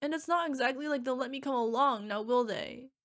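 Woman voicing a high-voiced, gushing young orc girlfriend. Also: brisk, a bit bright. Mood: wistful, pouting. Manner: gushing, emotional, playful, talking to an unheard partner. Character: young orc girlfriend, fierce yet cutesy, needy, affectionate. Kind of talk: ASMR girlfriend roleplay acting.

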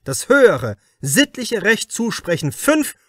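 A man's voice: sits high, sing-song, takes it slowly, fairly bright tone, no room sound, pitched above the performer's own voice, exaggerated voice. A male reader plays a shrewd detective from a crime audiobook. He speaks formally and insistently, in a raised, emphatic voice, recorded dry and close. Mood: accusing, forceful. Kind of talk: audiobook character dialogue.

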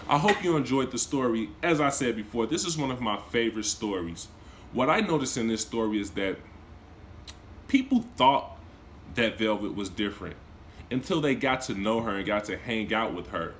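Man in his thirties, a teacher reading a picture book aloud with lots of swing in his voice, mid pitch, recorded on a phone in a small room. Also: normal speed, slight background noise. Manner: expressive storytelling with lively swings in pitch and volume; warm, encouraging talk to young children. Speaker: kindergarten teacher. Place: small indoor room, seated on a couch.

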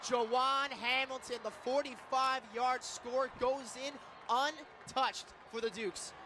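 High-pitched American football play-by-play man. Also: steady tone, slow, noisy background. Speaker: play-by-play sports announcer. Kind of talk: live American football radio commentary. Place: football stadium broadcast booth.

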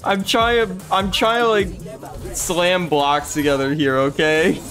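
A woman's voice: on the low side, fairly even intonation, takes it slowly, fairly bright tone. A young woman gamer in casual chat over a game, with sweary, joking banter.

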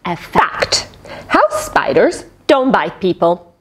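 A middle-aged woman reading a picture book aloud to children, expressive and teacherly, with questioning asides. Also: on the low side, slow pace, breathy edge. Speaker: children's storyteller, host. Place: small indoor fabric tent with cushions.

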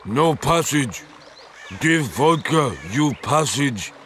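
A man's voice: mid-range voice, animated, slow, fairly bright tone, a little hiss or hum. A man playing a drunken, simple-minded troll in a video game, speaking in broken, childlike sentences. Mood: stubborn, demanding. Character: drunken, grieving male troll guarding a bridge. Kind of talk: video game character acting.

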